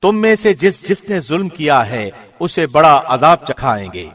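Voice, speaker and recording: A man reading a scripture translation as a scripted narrator, in a formal, measured radio presenter's manner. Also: a little high, very animated, fairly bright tone.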